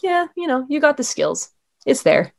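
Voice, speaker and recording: A woman's voice: sits high, big pitch swings, moderate pace, creaky, slight background noise. A young adult woman, a former sports anchor, talking casually as an interview guest in an upbeat, chatty way with lots of "um" and "like".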